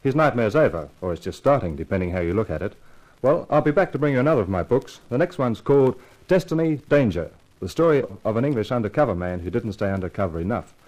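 A man's voice: on the low side, very animated, fast, darkish tone, poor audio. A man hosting a radio mystery series, reading a scripted sign-off as its author. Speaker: author-host signing off.

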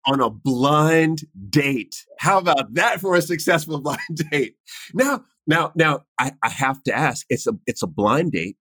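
A man's voice: a little high, sing-song, unhurried, sharp tone, slightly rough, dry recording. A man interviewing a guest, affirming her answers and stammering into his questions.

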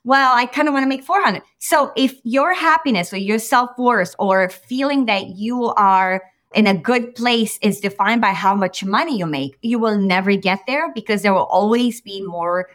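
Animated woman from Romania, therapist guest. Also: medium pitch, normal speed, hardly any pauses. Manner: animated, emphatic, tosses in "right?" and quoted asides. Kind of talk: podcast interview.

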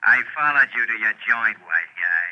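Anonymous tough American caller, slangy and scornful, dull filtered phone sound, old radio drama. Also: male voice, lowish voice, expressive, normal speed. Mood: guarded, pushy. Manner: slangy, impatient, tough talk. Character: brusque, insulting male tipster on the telephone. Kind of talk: 1950s radio drama acting.

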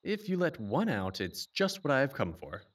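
A man playing a polite, earnest gentleman in a Victorian detective audio drama, speaking courteously and formally. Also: mid-range voice, huge pitch swings, normal speed, slightly rough. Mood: insistent.

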